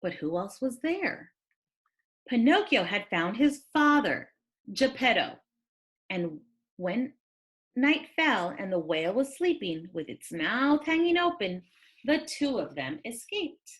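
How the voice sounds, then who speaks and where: A woman reading a children's story aloud as a teacher, with expressive, dramatic delivery. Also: a little high, takes it slowly.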